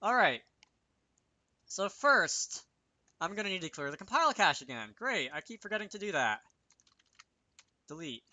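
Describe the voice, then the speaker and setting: A man with a bright, crisp-edged voice talks through a coding tutorial in a casual way. He thinks aloud, mutters to himself and corrects himself as he goes. The sound is close and dry, with little room around the voice. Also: high-pitched, sing-song, moderate pace, pauses often, no echo. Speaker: host of a game programming tutorial.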